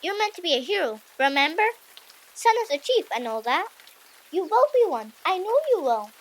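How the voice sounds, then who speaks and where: A woman voicing an earnest, anxious and loyal young Viking boy in an audiobook, with an expressive delivery. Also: very high-pitched, moderate pace, rough recording. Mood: earnest, encouraging. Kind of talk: audiobook character acting.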